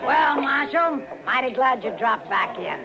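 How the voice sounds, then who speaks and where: A swaggering, tough gun-toting western woman. Her creaky voice swoops boldly and loudly. A noisy saloon crowd sits behind her in the old radio recording. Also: sits high, lively intonation, a little slow, highs cut off, full character voice. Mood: brash, sly. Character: brash, tough, swaggering female saloon gunslinger. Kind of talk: 1950s radio western acting.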